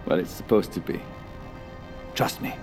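An actor playing a smooth, commanding male tech visionary who presents like a showman in an audio drama. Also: normal speed, close to an everyday voice. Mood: reassuring. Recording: dramatic music score behind.

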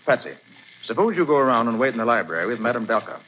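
An actor playing a calm, confident private detective in a 1940s radio drama, brisk and assured. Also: male voice, medium pitch, natural voice. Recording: muffled old radio broadcast, faint hiss.

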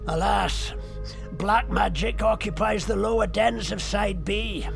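A man's voice: high-pitched, fairly even intonation, a little slow, creaky, over music, exaggerated voice. An actor playing an aging, worldly wizard in an audio drama, sly and condescending, savoring his phrases with arch chuckles. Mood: dismissive, grandiose.

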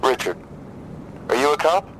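An actor playing a wary, troubled man who has been drinking, making a halting crisis call in an audio drama. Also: a little high, very animated, a bit bright, a little hiss or hum, low-quality recording. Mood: hesitant, wary. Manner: halting, hesitant. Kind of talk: audio drama phone dialogue.

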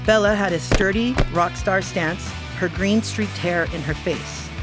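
A woman narrating a scripted children's podcast story with animated, emphatic, expressive phrasing. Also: lowish voice, a little slow, rough recording. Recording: music behind the voice.

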